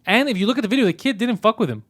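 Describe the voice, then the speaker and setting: A young man co-hosting a comedy show, chatting in an animated, mocking way and laughing easily. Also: high-pitched, rapid-fire. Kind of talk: casual comedic chat.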